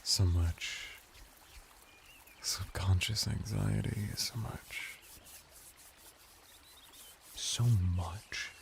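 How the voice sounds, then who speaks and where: Casting: male actor as a courtly, flirtatious merman for intimate ASMR roleplay; very low register, crackling vocal fry. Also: exaggerated voice. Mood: soothing, attentive. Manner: soft-spoken, intimate, formal flattering speech with lingering pauses. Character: flirty, calm male merman bathhouse attendant, courtly and seductive. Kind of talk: ASMR roleplay addressed to the listener.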